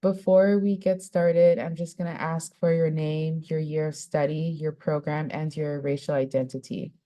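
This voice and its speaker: A young woman hosting a podcast interview, friendly, reading study summaries in a measured way before asking questions. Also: sits low, little pitch movement, warm tone. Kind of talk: podcast interview, partly read from a script.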